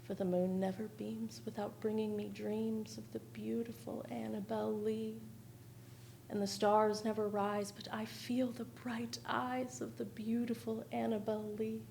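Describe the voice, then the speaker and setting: A young woman gives a solemn, mournful dramatic reading of verse in a measured manner. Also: a little high, flat delivery, gravelly, darkish tone, a little hiss or hum. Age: young adult. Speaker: stage performer reciting poetry. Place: theatre stage at a lectern.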